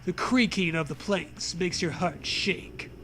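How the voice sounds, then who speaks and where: High, very bright male comic storyteller. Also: a little slow, slight background noise. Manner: crude, jokey asides. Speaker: co-host, reader. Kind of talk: comic dramatic reading of a horror story.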